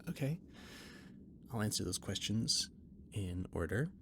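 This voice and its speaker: A man acts out a flustered catboy spaceship cook in an intimate audio roleplay, with clear noise behind him. His voice sits low with a lot of gravelly fry. He stammers, hedges and rambles in an eager, nerdy way. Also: animated, measured pace. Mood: explanatory, rambling. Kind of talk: intimate one-sided roleplay audio drama. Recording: noticeable background noise and ambience.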